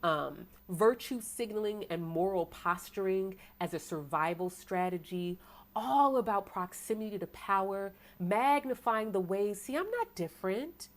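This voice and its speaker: Casting: American woman, tarot reader, deep voice, preaching tone, rhetorical questions, quick bursts. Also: lively intonation. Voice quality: self-described deep voice. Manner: preachy, rhetorical, asks "right?" often, bursts of fast talk. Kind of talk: tarot sermon to an online audience.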